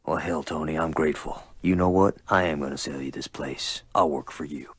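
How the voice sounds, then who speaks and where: A man's voice: very deep voice, fairly even intonation, brisk, slightly rough, exaggerated voice. An actor playing a weary, exasperated male restaurant manager in a video game cutscene. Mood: grateful.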